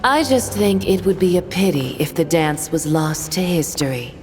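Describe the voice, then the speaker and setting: A woman's voice: lowish voice, moderate pace, lightly acted. A woman playing an aloof, melancholy woman of authority in a game, restrained and reflective in manner. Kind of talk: dramatic game cutscene acting. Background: music.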